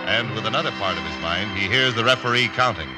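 A man narrating a 1950s radio drama as announcer, with dramatic, measured phrasing. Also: on the low side, expressive, rough recording. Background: music.

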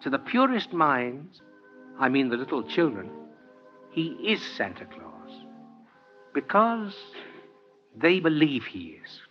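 A man's voice: fairly high pitch, sing-song, takes it slowly, long pauses. An older man playing a kindly, earnest old Santa Claus in a 1940s radio drama, with genteel, heartfelt oratory. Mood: earnest, moved. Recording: dull vintage 1949 radio recording, music behind.

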